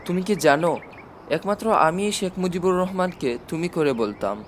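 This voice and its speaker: A man's voice: high-pitched, lively intonation, moderate pace, a little hiss or hum. A man voicing a self-satisfied elderly president with chatty, dry humour in an audiobook. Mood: wryly cheerful. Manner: chatty, drily humorous. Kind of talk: audiobook character dialogue.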